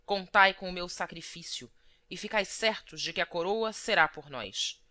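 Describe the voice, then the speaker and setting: A woman's voice: lowish voice, moderate pace, sharp tone. A woman voicing a pompous barber turned rebel leader, making an emphatic proclamation in an audiobook. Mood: pompous, rallying.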